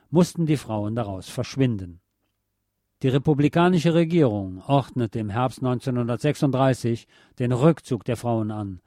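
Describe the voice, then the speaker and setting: Mellow-voiced man reading scripted narration, close and dry. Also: mid-range voice, no room sound. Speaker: radio presenter reading a historical feature.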